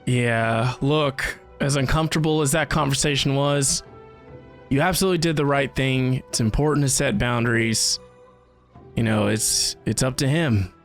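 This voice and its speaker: A man's voice: mid-range voice, normal speed, faint music behind. A man giving casual reaction commentary as a gaming streamer.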